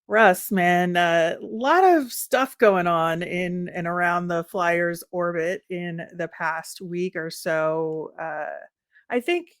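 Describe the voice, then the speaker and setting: Low-pitched woman hosting a sports podcast. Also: slow pace, dry recording, studio quality. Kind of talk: casual sports talk.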